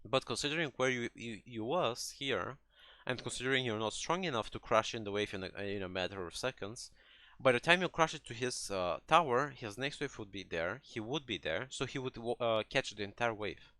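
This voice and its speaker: A man's voice: medium pitch, lively intonation, fairly quick, bright, forceful tone, dry recording. A man coaching a player through a game replay in a conversational, explanatory way, restarting phrases and often checking that he is followed. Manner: hesitant phrasing with many restarts, checking understanding with "right?". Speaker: gaming coach.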